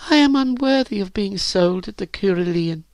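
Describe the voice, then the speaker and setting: A man's voice: high-pitched, sing-song, measured pace, no room sound, pitched above the performer's own voice. A man voicing a timid, wistful young slave woman in an audiobook, earnest and full of self-doubt.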